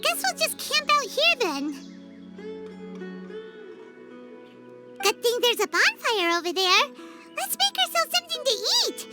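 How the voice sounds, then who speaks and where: A woman voicing a small, childlike fairy guide companion in an anime-style video game, squeaky and expressive, loyal, eager and easily flustered. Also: normal speed. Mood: upbeat. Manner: refers to self in third person, expressive. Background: music.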